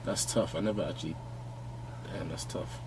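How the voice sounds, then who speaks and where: A young Nigerian-born man talks as a guest in a small podcast studio. His voice sits on the low side and his speech is easygoing, full of small restarts. A faint noise floor runs under the studio mic. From London, born in Nigeria. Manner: relaxed, halting, many restarts, laughs easily. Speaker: guest fashion designer. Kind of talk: casual podcast chat. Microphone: studio mic on arm, wearing headphones.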